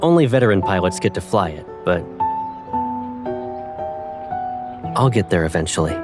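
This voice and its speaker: A man's voice: deep voice, expressive, fast. Man acting tender, teasing young pilot, music behind. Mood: teasing, affectionate. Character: affectionate teasing young male pilot, grown childhood friend. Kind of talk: audio drama acting. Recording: loud music bed behind voice.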